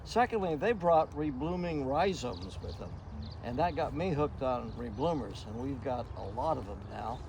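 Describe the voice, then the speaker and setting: A man's voice: medium pitch, sing-song, moderate pace. A plain-spoken man giving an informal, folksy memorial tribute. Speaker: iris club member giving a tribute. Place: outdoors in a garden. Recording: noisy outdoor recording.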